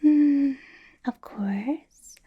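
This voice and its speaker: A woman playing a possessive, doting female vampire boss in a whispered ASMR roleplay, coaxing softly with a sweetly sinister edge. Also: high voice, narrow, tinny audio. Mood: flirty. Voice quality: breathy, whispered. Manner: slow, coaxing, soft giggly delivery.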